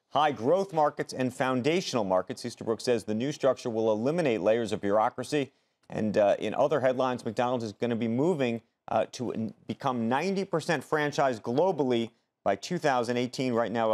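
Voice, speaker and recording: A man anchoring TV business news, reading headlines quick and matter-of-fact. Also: medium pitch, darkish tone. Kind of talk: breaking-news read.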